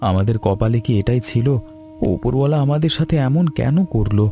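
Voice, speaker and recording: A man voicing a humble, anxious weaver and husband in an animated story, speaking in an earnest, plaintive way. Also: mid-range voice, brisk, soft background music, natural voice. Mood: despairing. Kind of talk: Bengali animated story dialogue.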